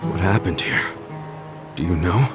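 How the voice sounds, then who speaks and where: An actor voicing a blunt, earnest middle-aged ex-yakuza in a video game, plain-spoken and guarded. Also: male voice, deep voice, unhurried. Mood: somber. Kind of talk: video game cutscene voice acting. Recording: dramatic music behind the voice. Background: loud background music.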